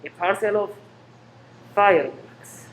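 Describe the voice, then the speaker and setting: A man playing a menacing spy ringleader in a radio play, measured and scornful as he gives orders. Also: high-pitched. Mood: smoothly menacing. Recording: noisy room recording.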